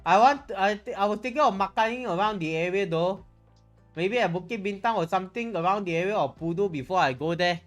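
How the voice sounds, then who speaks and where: Music plays behind a streamer with a Malaysian accent and a medium-pitched voice. The talk is casual and rambling, with phrases repeated and restarted as the thoughts come. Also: a little fast. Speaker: livestreamer. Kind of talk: casual livestream chat. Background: background music.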